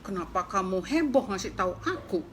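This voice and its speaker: A woman's voice: mid-range voice, sing-song, fairly quick, a bit bright, slight background noise. A woman voicing a lazy, sleepy pig grumbling at being disturbed. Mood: grumpy sleepy. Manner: grumbling, dismissive.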